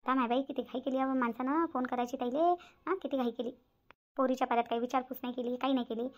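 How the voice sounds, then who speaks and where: A woman plays a fussy, grumbling middle-aged village mother in a cartoon, nagging in a high voice with a lilt. She talks very fast over a dull, lo-fi sound. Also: steady tone, close to an everyday voice. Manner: complaining, nagging. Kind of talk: cartoon voice acting.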